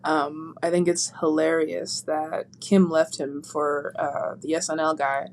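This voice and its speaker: A woman's voice: low-pitched, a little slow, a little hiss or hum. Joking woman guest on a call. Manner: joking, animated storytelling. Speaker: podcast guest. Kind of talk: casual chat. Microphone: remote call.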